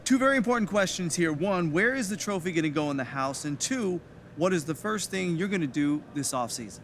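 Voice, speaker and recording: An actor playing a polite sports reporter asking press conference questions in a video game cutscene. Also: male voice, high voice, expressive, fairly quick, slight background noise. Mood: inquisitive.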